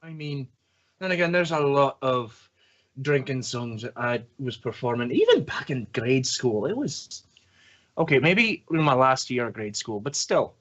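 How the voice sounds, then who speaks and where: A man's voice: mid-range voice, sing-song, measured pace, a bit bright. A man hosting a podcast, chatting casually in a playful, bantering way. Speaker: podcast host. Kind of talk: casual chat. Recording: dull lo-fi audio.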